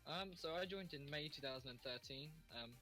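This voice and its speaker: A young man from the United Kingdom, a guest developer, talking in a relaxed, hesitant way with frequent ums. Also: a little high, a little fast, faint music behind. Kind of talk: casual interview chat.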